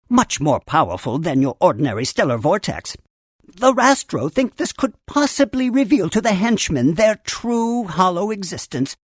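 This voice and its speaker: A woman voicing a wise, earnest creature who tends a great tree in a children's computer game, with theatrical, formal storybook phrasing and gentle humor. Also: mid-range voice, no room sound, clean studio sound. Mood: congratulatory, impressed. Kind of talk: scripted game character narration.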